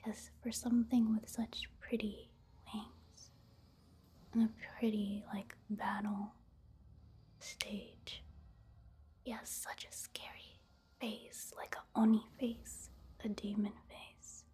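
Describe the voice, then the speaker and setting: Soft whispery asmr gamer lady, super breathy, takes her time, close on the mic. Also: medium pitch, little pitch movement. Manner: gentle, unhurried, hushed. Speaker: ASMR host and game commentator. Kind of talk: soft-spoken whispered ASMR game commentary.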